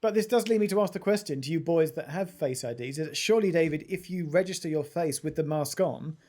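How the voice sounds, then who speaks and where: A man with a slightly raised pitch chats on a casual tech podcast. As co-host he talks in a playful, teasing manner. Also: quick pace, darkish tone.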